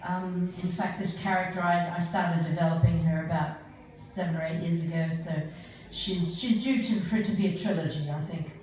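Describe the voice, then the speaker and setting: A woman's voice: fairly low pitch, steady tone, normal speed, hardly any pauses, darkish tone, faint music behind. An older woman talking casually and hesitantly as she introduces her live performance, in an informal, rambling way. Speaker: solo performer, writer. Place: live performance venue, indoors. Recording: echoey live venue, far from mic.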